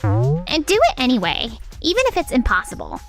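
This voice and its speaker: A woman's voice: a little high, wildly swooping pitch, normal speed, faint music behind. A woman voicing a pushy, demanding young woman, a CEO's spoiled daughter, in a manga dub. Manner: insistent, demanding.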